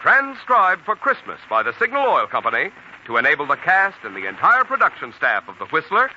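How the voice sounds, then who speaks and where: A man's voice: on the high side, wildly swooping pitch, a little fast, sharp tone, muffled recording. A man announcing for a radio sponsor in a polished, emphatic 1940s style. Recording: old radio recording, faint noise.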